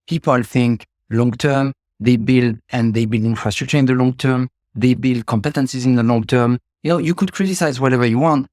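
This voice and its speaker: A man's voice: mid-range voice, darkish tone, dry recording, studio quality. A middle-aged man from France with a French accent, speaking reflectively as a guest in an interview, with many fillers and restarts. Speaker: guest, ecommerce logistics executive. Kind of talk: podcast interview.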